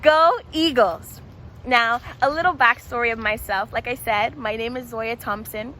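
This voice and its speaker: A woman's voice: a little high, unhurried, a bit bright, a little hiss or hum. A woman from the Bahamas, a licensed counselor, giving an encouraging, interactive talk to students and repeating points for emphasis. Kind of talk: presentation to students.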